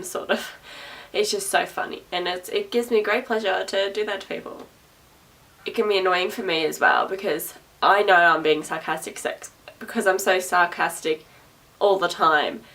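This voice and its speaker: Young woman, loud and low, gravelly edge, sarcastic rambling vlogger. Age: young adult. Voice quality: naturally loud, projecting voice. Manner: sarcastic, chatty, repeats herself, laughs often. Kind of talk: rambling casual talk to camera. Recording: voice a little distant from the mic.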